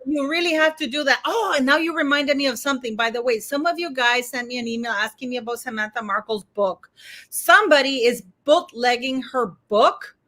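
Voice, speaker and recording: A woman with a Montenegrin accent hosting a live stream, chatty and excitable, with lots of fillers and restarts. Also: high voice, fairly quick. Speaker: host. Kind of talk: casual live-stream chat.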